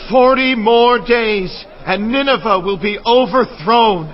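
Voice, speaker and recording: An actor playing an anguished, fervent Hebrew prophet in a dramatized audio Bible, with declamatory, emotional delivery. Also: male voice, very high-pitched, slow. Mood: proclaiming. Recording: dull audio under clear music.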